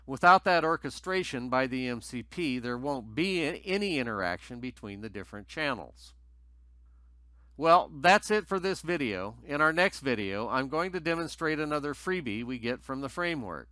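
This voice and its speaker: Bright-voiced man narrating a technical tutorial. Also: medium pitch, animated, normal speed, dry recording. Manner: methodical, explanatory. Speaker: tutorial presenter.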